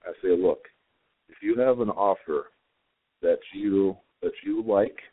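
Hoarse deep-voiced man on phone line. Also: no echo. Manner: halting, many fillers. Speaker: prep school guest. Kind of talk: phone interview. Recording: dry, tinny phone line.

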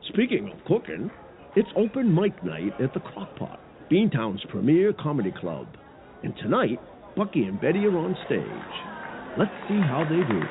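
A man plays a theatrical, jolly announcer for a children's comedy show. His pitch swings hugely from low to high as he presents. The sound is narrow and phone-like. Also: huge pitch swings, moderate pace, crowd noise. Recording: thin phone-like band.

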